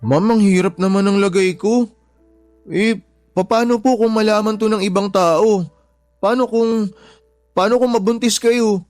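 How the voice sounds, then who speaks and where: Young shop helper in radio drama, really high voice, nervous n respectful, pleading, music under it. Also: male voice, steady tone, measured pace, long pauses, darkish tone, no echo. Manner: polite, distressed pleading. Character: humble young male shop worker, anxious, respectful, loyal. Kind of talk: Tagalog radio drama acting.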